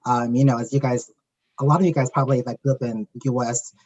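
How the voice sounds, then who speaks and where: A man moderating a panel discussion in a measured way, with frequent fillers. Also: mid-range voice, steady tone, a little mellow. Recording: dull lo-fi sound.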